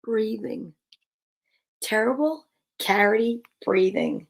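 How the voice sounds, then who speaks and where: A woman reading a children's picture book aloud in a theatrical, suspenseful, drawn-out way. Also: a little high, expressive, vocal fry, low-quality recording. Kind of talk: dramatic children's story read-aloud.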